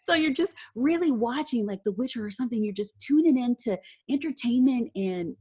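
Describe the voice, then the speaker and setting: Bubbly woman podcast host, slightly breathy. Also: a little high, expressive, a little fast, warm tone. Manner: bubbly, effusive, giggly. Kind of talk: casual podcast chat and scripted outro.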